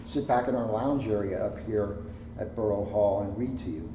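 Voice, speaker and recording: A man's voice: lowish voice, normal speed. A man co-hosting a children's story reading, chatting casually with teasing, jokey asides. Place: indoor lounge at a borough hall. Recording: echoey room, distant mic, muffled, noisy.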